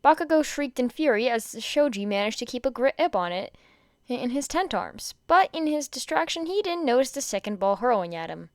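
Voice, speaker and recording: A woman reading fan fiction aloud in an expressive storytelling style, with a clear voice. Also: high-pitched, normal speed, dry recording, studio quality.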